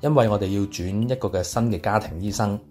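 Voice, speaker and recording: A man's voice: fairly low pitch, fast talker. A middle-aged man with a Hong Kong Cantonese accent, telling a personal testimony and dropping in English words. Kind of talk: personal testimony, measured storytelling. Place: small padded recording studio. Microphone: studio microphone on boom arm. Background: soft guitar music.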